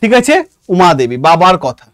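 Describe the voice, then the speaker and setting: A Bengali man lectures an online exam class in a strikingly high-pitched voice. He addresses students informally and dictates key points, repeating them for emphasis. The sound is dry, with hardly any room in it. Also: big pitch swings, brisk, no echo. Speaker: exam-prep history teacher.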